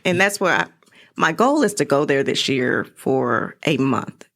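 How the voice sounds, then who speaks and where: A woman's voice: extremely low pitch, sing-song, a little slow, fairly bright tone. A woman chatting casually as a guest in an interview, recorded in a podcast studio.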